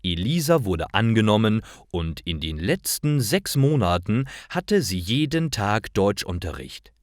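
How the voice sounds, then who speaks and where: A man reading simple stories as a narrator for language learners, in a deliberate and expressive storytelling style. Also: on the low side, no echo, studio quality. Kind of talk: scripted storytelling narration, read slowly for learners.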